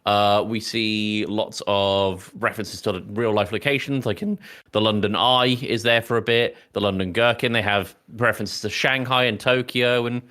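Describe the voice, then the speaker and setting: A man's voice: mid-range voice, a bit bright. A man co-hosting a podcast, recapping a film in a casual, rambling way that often interrupts itself. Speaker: podcast co-host.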